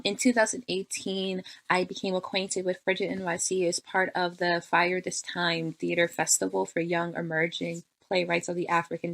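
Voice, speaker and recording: A woman's voice: fairly low pitch, creaky, fairly bright tone, no echo, poor audio. A young woman introducing herself as an artist in a prepared personal testimonial. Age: young adult.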